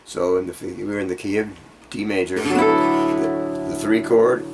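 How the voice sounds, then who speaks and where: A man's voice: fairly low pitch, fairly even intonation, measured pace, low-quality recording. A man giving an informal guitar lesson, rambling and thinking aloud in a self-deprecating way as he explains. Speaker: guitar teacher. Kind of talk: informal music workshop lesson. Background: acoustic guitar being played.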